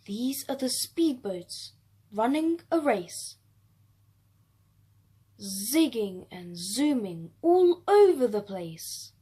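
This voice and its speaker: Slow, high-voiced woman storyteller. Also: slightly rough. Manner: expressive, measured. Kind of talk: children's rhyming read-aloud.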